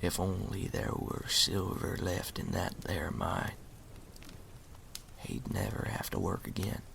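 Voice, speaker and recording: A man playing a wry animal storyteller in a campfire ASMR roleplay, speaking slowly and ominously in a gravelly half-whisper. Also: low-pitched, steady tone, fairly bright tone, a little hiss or hum, heavily acted. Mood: ominous storytelling. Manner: slow, deliberate, folksy, ominous.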